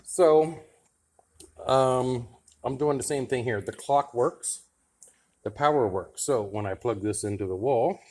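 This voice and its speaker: A man's voice: mid-range voice, measured pace. A man talking casually to camera as a radio hobbyist vlogger, chatting loosely while he tinkers. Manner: relaxed and chatty, thinking aloud while tinkering. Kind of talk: casual how-to vlog.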